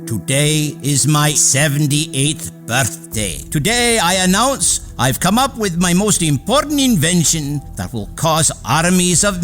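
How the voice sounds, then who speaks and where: Elderly genius inventor for radio drama: high-pitched, grandiose, proud, declamatory delivery. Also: male voice, a bit bright, full character voice. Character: elderly inventor Tesla, proud, grandiose, announcing his weapon. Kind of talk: radio theater acting.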